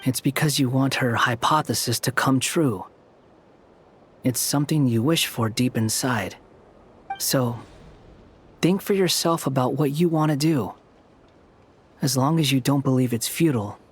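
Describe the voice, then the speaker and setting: A man's voice: mid-range voice, unhurried, pauses often, a little hiss or hum, lightly acted. An actor playing a blunt, aloof young man in a fantasy game, with curt, dry, challenging delivery. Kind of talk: scripted game dialogue.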